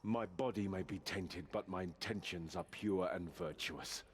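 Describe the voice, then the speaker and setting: A proud knight with a low voice, earnest and honourable. He speaks in formal, resolute fantasy game style. Faint ambience runs behind him. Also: unhurried, a little hiss or hum. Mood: earnest pleading. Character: proud, honourable male knight resisting inner corruption. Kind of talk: scripted fantasy game acting.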